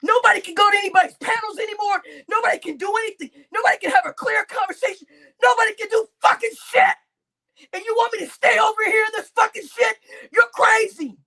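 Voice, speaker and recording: A woman livestreamer is on a tearful, furious rant, her voice very high and shrill. She shouts and swears without pause, going back over the same complaints, and the voice cracks into a gravelly fry. It all sounds raw and unscripted. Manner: shouting, heavy swearing, repetitive outbursts. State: crying. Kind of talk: angry livestream rant to chat.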